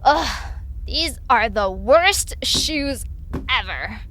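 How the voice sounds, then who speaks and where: A woman playing a worried, snarky, protective young woman in an audio drama, expressive and sarcastic. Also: on the high side, very slow, heavy background noise. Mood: exasperated, sarcastic. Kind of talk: audio drama character acting.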